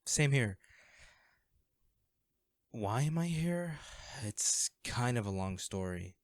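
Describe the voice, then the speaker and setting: A man acting in an audio roleplay as an anxious, self-deprecating young man, a caring old crush who talks haltingly and intimately to the listener. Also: mid-range voice, animated, no echo. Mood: nervous, hesitant. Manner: hesitant, halting, sighing.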